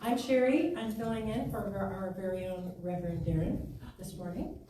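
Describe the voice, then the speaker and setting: A woman gives an informal welcome and announcements as the host of a gathering. Her medium-pitched voice comes from some distance in a strongly reverberant hall, with noticeable noise around it. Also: fairly even intonation, normal speed. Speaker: stand-in host welcoming a congregation. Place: meeting hall of a spiritual center. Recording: echoey hall from far off, noisy.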